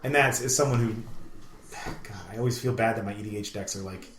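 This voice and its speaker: A man's voice: on the low side, quick pace, a bit bright, slight background noise. A man co-hosting a podcast, chatting casually in an enthusiastic, rambling way. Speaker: podcast co-host. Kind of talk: casual chat. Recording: roomy, echoey room sound.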